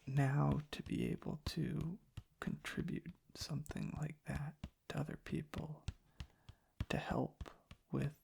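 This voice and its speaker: A young man rambles in a soft ASMR style, very slowly and with heavy vocal fry. He often slips toward a whisper and halts mid-sentence. He is close to the microphone, with soft tapping and clicking behind him. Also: a little high. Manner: hushed, halting, pausing mid-sentence. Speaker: ASMR content creator.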